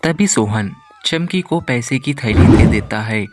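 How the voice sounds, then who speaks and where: Male storyteller for cartoon bedtime fables, medium pitch, scripted narration. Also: lively intonation, unhurried, soft background music.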